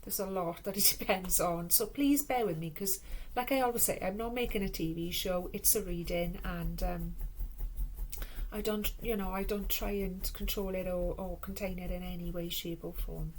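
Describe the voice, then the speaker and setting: A woman with a Welsh accent giving a spiritual card reading, chatty and rambling with restarts, calling her listeners my loves, now and then dropping to a hushed whisper. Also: lowish voice, fairly even intonation, slight background noise, poor audio. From Wales. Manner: slow measured reading aloud from a card guidebook; drifts between quick talk and hushed whispering. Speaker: tarot card reader. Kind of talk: casual spoken card reading.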